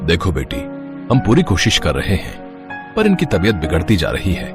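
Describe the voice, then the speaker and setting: A man voicing a calm hospital doctor who speaks gravely in a cartoon story. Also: low-pitched, moderate pace, over music, full character voice.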